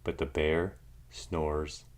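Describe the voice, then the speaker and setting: A man reading a children's picture book aloud in an expressive narrating style. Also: rumbling low, drawn-out words, noisy background. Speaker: storybook reader.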